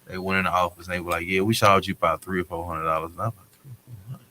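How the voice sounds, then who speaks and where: A man's voice: sits low, rapid-fire, sharp tone, slight background noise. A man telling personal stories in a casual panel talk, blunt, slangy and profane. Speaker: panel guest.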